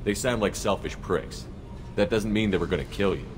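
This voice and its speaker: An actor playing a steady, probing human military commander in a video game, direct and even-tempered. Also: male voice, fairly low pitch, moderate pace, in a large hall, music in the background, natural voice. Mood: calm, interested. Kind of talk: video game character acting.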